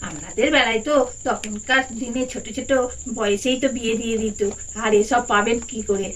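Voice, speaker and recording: A performer voicing an encouraging aunt doll who hosts a children's quiz in a puppet story, reading questions deliberately. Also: medium pitch, a bit bright, natural voice, rough recording. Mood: chatty, respectful. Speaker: quiz host.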